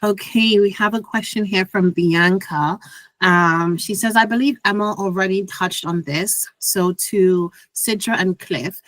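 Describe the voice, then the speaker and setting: A woman moderating an online Q and A, reading out audience questions in a brisk, upbeat way. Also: fairly low pitch, fairly bright tone, dry recording. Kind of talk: hosting a Q and A.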